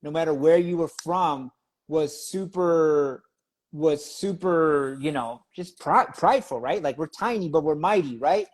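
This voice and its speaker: A man with a high-pitched voice hosts a casual interview. He talks informally, in loose slang, as if chatting with a friend. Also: unhurried. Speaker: interviewer, magazine editor.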